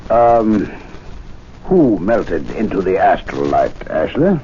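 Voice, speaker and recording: A dry, urbane gentleman. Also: low voice, sing-song, a little hiss or hum, heavily acted. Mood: probing. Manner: dry, wry, measured. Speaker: club member. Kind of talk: 1970s radio drama acting. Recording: dull 1970s radio drama recording.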